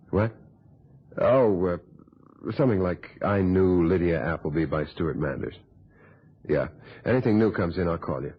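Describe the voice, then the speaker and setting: Deep, husky opportunistic reporter. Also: male voice. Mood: brisk. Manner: brisk, businesslike, unapologetic. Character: opportunistic, hard-up male newspaper reporter. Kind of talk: old radio drama acting. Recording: dull, lo-fi old radio recording.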